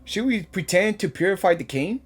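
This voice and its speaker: A woman's voice: medium pitch, lively intonation, fairly quick, breathy edge. A woman giving live gaming reaction commentary, exclamatory and often repeating phrases. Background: faint game music.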